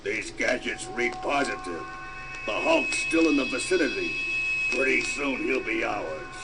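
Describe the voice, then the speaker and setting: An actor playing a menacing, scheming super-villain brute who speaks in a deliberate, gloating way in an audio drama. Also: male voice, medium pitch, expressive, poor audio. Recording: loud dramatic music behind.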